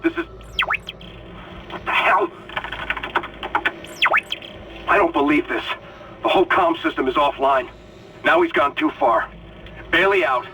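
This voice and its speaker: An actor playing a defiant, desperate ship communications officer recording an indignant log in a video game. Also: male voice, on the high side, wildly swooping pitch, moderate pace, long pauses, noisy background. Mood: desperate, outraged. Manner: intense, indignant.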